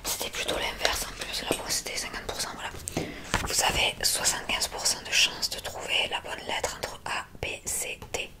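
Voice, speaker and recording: A woman doing an ASMR roleplay in a breathy whisper, softly guiding the listener step by step into a close microphone. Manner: soft, unhurried, step-by-step instructing. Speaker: ASMR roleplay host, examiner giving relaxation tests.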